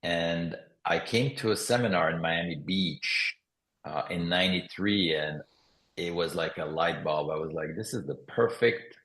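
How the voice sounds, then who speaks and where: A man from Morocco and Canada with a mixed accent, telling his story in a relaxed way. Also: low-pitched, unhurried, reverberant. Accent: mixed foreign. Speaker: guest entrepreneur. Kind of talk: interview conversation.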